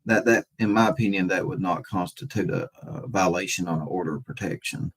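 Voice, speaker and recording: A man with a fairly low, husky voice hosts a casual livestream. He speaks off the cuff to his viewers in a halting way, often circling back to repeat a phrase before moving on. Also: a bit bright. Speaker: livestream host talking with chat viewers.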